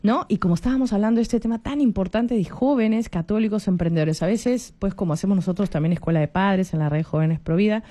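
A woman in her forties with a Peruvian Spanish accent talks on a radio program. Her voice is mellow and soft. She is chatty, keeps adding little tag questions and restarts her phrases as she goes. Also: medium pitch, fast talker, hardly any pauses. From Peru. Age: 40s. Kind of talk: casual radio talk.

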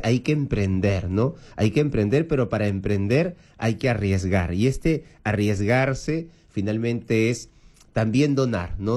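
A man, hosting a radio fundraising show in a chatty, animated way and laughing often. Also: medium pitch. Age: 40s. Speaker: radio host. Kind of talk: casual radio chat, fundraising appeal.

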